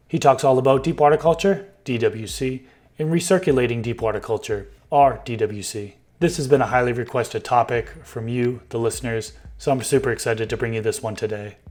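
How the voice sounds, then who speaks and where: A man in his thirties hosting a gardening podcast from a home room on a boom-arm studio mic, his voice hoarse and a bit raspy, reading sponsor spots in an upbeat way. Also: fairly quick, darkish tone. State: hoarse, slightly raspy from smoke. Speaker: podcast host. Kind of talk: casual podcast chat and sponsor reads.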